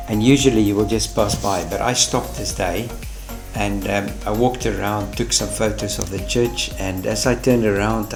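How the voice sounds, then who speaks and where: A man in his fifties, an Afrikaans speaker, chatting unhurriedly about his watercolor painting, with plenty of fillers and restarts. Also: lowish voice. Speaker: watercolor artist. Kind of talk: relaxed travel and painting vlog. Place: living room, indoors.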